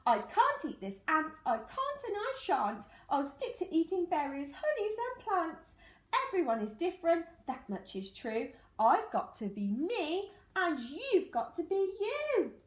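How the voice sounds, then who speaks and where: A woman voicing a gentle, earnest male anteater from a storybook, exclaiming in sing-song rhyme. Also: extremely high pitch, huge pitch swings, unhurried, voice pushed up, full character voice. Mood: firm, earnest. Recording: echoey room.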